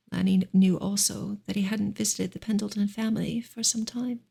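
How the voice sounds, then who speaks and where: A woman reading a novel aloud as ASMR in a soft, breathy whisper, with slow, gentle, measured delivery. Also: medium pitch. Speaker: ASMR reader of a classic novel.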